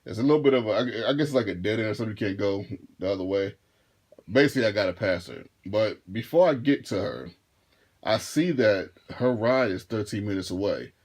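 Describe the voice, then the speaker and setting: A man in his thirties with a deep, low voice, chatting straight to the camera in a loose storytime. He takes his time, repeats himself and circles back mid-sentence in an easygoing way. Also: lively intonation, a little fast, a little mellow. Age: 30s. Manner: laid-back, rambling, repeats and restarts phrases. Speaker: vlogger and rideshare driver. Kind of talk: casual storytime monologue.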